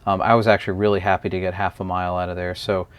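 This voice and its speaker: A man's voice: sits low, little pitch movement, very fast, smooth, dry recording, lo-fi sound. A man giving a casual, unscripted hands-on product review, explaining step by step in a hesitant way.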